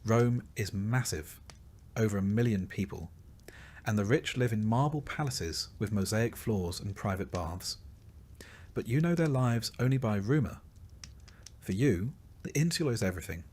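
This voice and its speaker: Male narrator, lowish pitch, for long-form scripted storytelling: measured dramatic delivery with a dry, wry edge. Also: expressive, a little mellow. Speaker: narrator of history sleep stories. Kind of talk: scripted second-person documentary narration.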